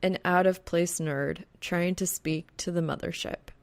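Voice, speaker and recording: A woman narrates a fan fiction audiobook from a script. Her voice sits a little low for a woman and carries a clear creak as she reads the story. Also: fairly even intonation, unhurried.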